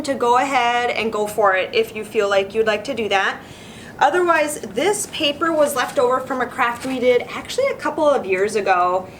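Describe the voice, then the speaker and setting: A woman with a fairly high voice leads a casual craft demonstration for children. She talks in an informal, encouraging way as she explains each step. Noticeable background noise with a low rumble sits behind her voice. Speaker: children's librarian hosting a craft session.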